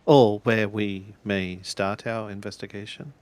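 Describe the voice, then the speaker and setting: A man playing a tabletop roleplaying game, improvising casually with halting phrasing. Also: lowish voice, steady tone, darkish tone, no echo.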